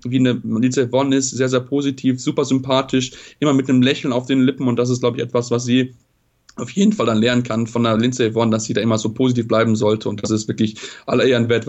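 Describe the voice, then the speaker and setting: A man talks quickly in a flat, even tone, stumbling over restarts and fillers as he gives sports analysis. His voice comes through a dull, lo-fi line. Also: mid-range voice. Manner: halting, many fillers and restarts. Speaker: sports pundit. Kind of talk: casual spoken analysis.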